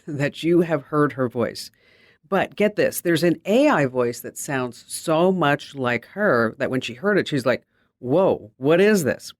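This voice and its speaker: A man's voice: medium pitch, dry recording, clean studio sound. A man hosting a show, chatty and enthusiastic as he introduces a guest. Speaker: host.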